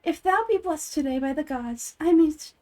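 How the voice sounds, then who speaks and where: A woman's voice: sits high, normal speed, warm tone, voice pushed up, natural voice. A woman voicing a theatrical, pompous mystical fortune teller in a game, with grandiose, oracular delivery. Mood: grand, mystical.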